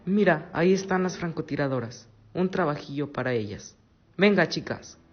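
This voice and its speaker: A man's voice: on the high side, lively intonation, normal speed, natural voice. A man playing a hungry soldier who goads his comrades in a radio play. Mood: goading. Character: hungry male soldier goading the women snipers. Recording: dull lo-fi, music behind.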